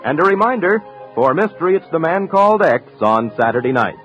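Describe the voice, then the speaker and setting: A man's voice: fairly high pitch, very animated, a little fast, music in the background. A man announcing in scripted 1950s radio style, reading credits and programme promos. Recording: muffled 1950s radio recording.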